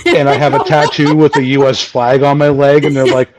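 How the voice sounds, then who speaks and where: A Canadian man in his forties with a booming voice, telling his story in a rambling way as a podcast guest. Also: flat delivery, brisk, crowd noise. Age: 40s. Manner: rambling, many fillers and restarts. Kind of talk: casual storytelling chat. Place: home. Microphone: remote video call.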